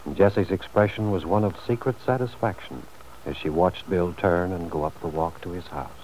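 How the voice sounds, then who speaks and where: Deep-voiced male old-time radio narrator. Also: normal speed, darkish tone. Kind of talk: scripted vintage radio soap narration. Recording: noisy old radio recording.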